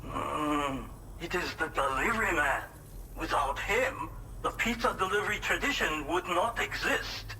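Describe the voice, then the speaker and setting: A man's voice: on the high side, animated, unhurried, gravelly, pushed and bright, a little hiss or hum, full character voice, low-quality recording. A man playing an eccentric, grandiose pizza chef in a video game cutscene, speaking formally and dramatically. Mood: earnest, pompous. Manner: pompous, formal, dramatic phrasing. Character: eccentric, grandiose pizza chef with theatrical martial-arts zeal.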